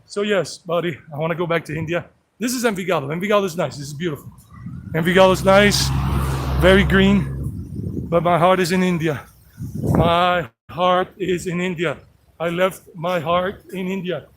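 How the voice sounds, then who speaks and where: A middle-aged man with a rather high voice, talking in a loose, rambling way that circles back on itself. He is walking outdoors and holding a phone, and a faint hum of ambience sits behind him. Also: moderate pace, poor audio. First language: English. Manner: rambling, repetitive, chatty. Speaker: vlogger. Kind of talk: walking live stream vlog. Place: outdoors on a walk by a creek. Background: flowing creek.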